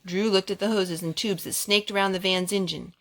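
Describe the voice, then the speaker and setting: A woman's voice: medium pitch, fairly even intonation, fairly quick, fairly bright tone. A woman reading aloud to young children at a storytime, speaking simply with asides to the little ones. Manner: simple, child-directed talk.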